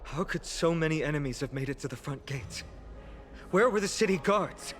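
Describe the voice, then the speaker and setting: A man playing an earnest, responsible young hero in a dramatic video game, firm and measured. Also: on the high side, expressive, close to an everyday voice. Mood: concerned, resolute. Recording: game music behind the voice.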